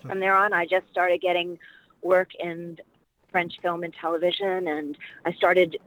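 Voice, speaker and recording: A woman's voice: fairly low pitch, animated, measured pace, fairly bright tone, rough recording. Reflective middle-aged woman telling stories. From Washington, D.C. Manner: reflective, rambling storytelling with fillers. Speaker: actress and dialect coach, interview guest. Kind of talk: casual interview conversation.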